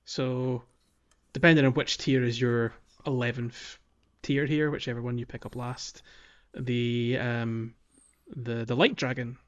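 A man of medium pitch co-hosts a gaming podcast in a casual, chatty way. The sound is a little muffled and lo-fi. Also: level tone, measured pace. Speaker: podcast co-host. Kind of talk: casual chat about a video game. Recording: slightly dull, lo-fi microphone.

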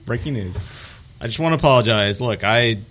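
A man's voice: mid-range voice, lively intonation, moderate pace, fairly bright tone, slight background noise. A man co-hosting a comedy podcast, bantering casually in a mock-earnest, repetitive riffing way. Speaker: podcast co-host.

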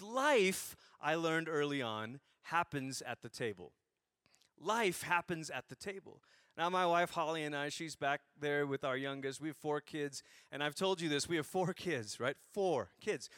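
A man in his forties from Malaysia, preaching a sermon through animated, humorous stories. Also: high voice, dry recording, studio quality.

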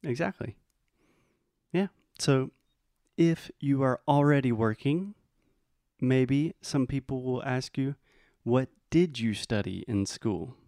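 An American man teaching English in a bilingual lesson, explaining patiently and modeling phrases slowly. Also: mid-range voice, animated, dark tone, no echo, studio quality. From United States.

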